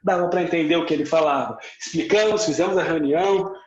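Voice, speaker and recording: A man's voice: on the high side, fast. A Brazilian man with a Brazilian Portuguese accent, an English teacher giving an emphatic motivational lesson, repeating points for stress and dropping in English words. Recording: echoey room, slightly muffled sound.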